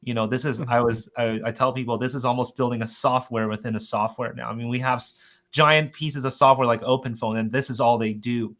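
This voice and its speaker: Male webinar host, mid pitch, very bright tone, earnest and conversational product presenter. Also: fairly even intonation, a little fast. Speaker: host of a software update webinar.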